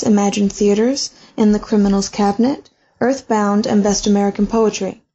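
A woman's voice: mid-range voice, warm tone. A woman hosting a podcast interview, reading a formal introduction and then asking short prompts. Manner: reads introduction, then brief encouraging prompts. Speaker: podcast host. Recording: muffled lo-fi audio.

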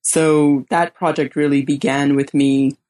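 Bahamas-born woman guest, low voice, unhurried, thinks aloud with frequent ums. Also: steady tone, warm tone. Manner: slow and measured, many ums and pauses. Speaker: guest author. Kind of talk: thoughtful academic interview answers.

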